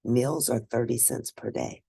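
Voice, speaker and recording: A woman reading a scripted first-person story aloud, expressive and deliberate, with a husky, gravelly voice. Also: extremely low pitch, no echo. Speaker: read-aloud narrator.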